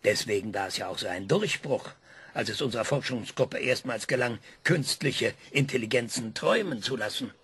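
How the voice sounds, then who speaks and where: A gravelly, scornful scientist. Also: male voice, mid-range voice, animated, moderate pace, dry recording, heavily acted. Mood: lecturing. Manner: lecturing, dramatic emphasis with sudden hushed whispers. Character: proud male scientist, sharp and scornful. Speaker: scientist being interviewed. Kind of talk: radio play acting.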